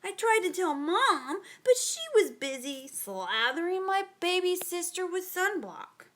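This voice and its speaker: A woman's voice: extremely high pitch, sing-song, unhurried, a bit bright. A woman hosting a read-aloud show for children, narrating storybooks in a theatrical, playful and exaggerated way.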